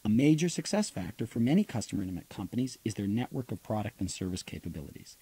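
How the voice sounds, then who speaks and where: Male audiobook narrator for business nonfiction: husky, relaxed tone, measured expository read. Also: lowish voice. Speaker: author reading own audiobook.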